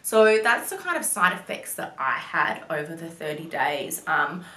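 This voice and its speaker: A woman's voice: sits low, sing-song, normal speed, bright, forceful tone. A young woman chatting casually to camera, full of "like" fillers and little apologies. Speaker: vlogger. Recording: roomy echo.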